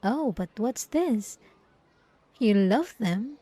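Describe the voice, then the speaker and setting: A woman playing a possessive, obsessive ex-girlfriend in an ASMR roleplay, with drawn-out, cooing condescension and sweet menace. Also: on the high side, lively intonation, dark tone, slightly rough, no echo, full character voice. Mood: mocking. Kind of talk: ASMR roleplay monologue to the listener.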